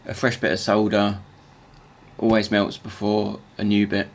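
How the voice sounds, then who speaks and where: Guy with a croaky gravelly morning voice, low and flat, stumbling and restarting as he explains his workshop craft. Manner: halting, with many repeated words and restarts. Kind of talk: casual workshop tutorial voice-over.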